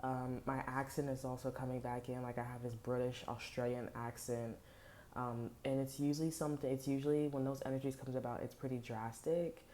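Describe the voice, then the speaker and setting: A woman reads tarot for her viewers from home, her voice very low-pitched and dark. She rambles in a hushed tone, full of fillers and restarts, through a headset mic. A faint noise sits under the recording. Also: little pitch movement, a little fast. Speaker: tarot reader. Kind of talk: casual spiritual talk to viewers. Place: home at night. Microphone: headphones with mic.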